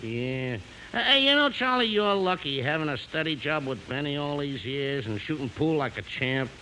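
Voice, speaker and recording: A hapless, inquisitive pool player from a 1950s radio comedy. He talks in slang, with a high voice that swings widely. The old recording is plainly noisy. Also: animated, normal speed, pushed and bright. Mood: grumbling, envious.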